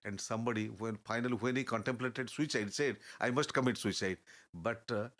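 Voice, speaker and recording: Older man, mid-pitched, earnest guest who pauses often to find his words. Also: a bit bright. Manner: halting, searching for words, earnest and advising. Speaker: interview guest. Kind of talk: reflective storytelling in conversation.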